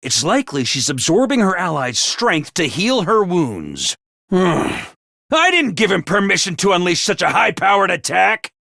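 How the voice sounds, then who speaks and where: A man voicing a peppy, bossy little mascot commanding officer in a video game, with a high cartoonish voice and theatrical, jaunty drill-sergeant delivery. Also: sing-song, measured pace, gravelly, bright, forceful tone, no echo, clean studio sound, exaggerated voice. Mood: stern, serious. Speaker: voice actor for a video game character. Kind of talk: animated video game voice acting.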